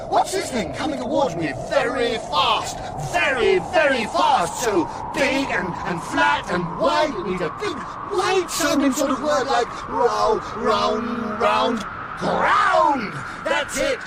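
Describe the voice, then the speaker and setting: An actor playing a naive, excitable newborn sperm whale in a radio comedy, chattering questions to himself in breathless wonder. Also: male voice, very high-pitched, heavy background noise, heavily acted. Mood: giddy excitement. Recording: loud rushing roar behind voice.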